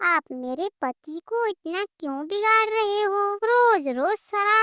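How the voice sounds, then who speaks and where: A woman plays a worried cartoon mother parrot with a tiny, extremely high voice. She pleads politely and plaintively, drawing her words out slowly. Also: animated, fairly bright tone, dry recording, clean studio sound, heavily acted. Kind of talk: children's cartoon dialogue.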